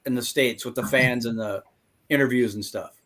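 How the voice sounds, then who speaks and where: A male podcast host asks his guest loose, casual questions. His middle-pitched voice is relaxed and sprinkled with fillers. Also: normal speed.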